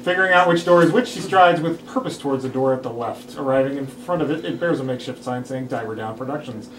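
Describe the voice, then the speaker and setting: A man's voice: mid-range voice, fast talker. A man reading scripted stage directions aloud at a table read. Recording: roomy, distant mic, clear background noise.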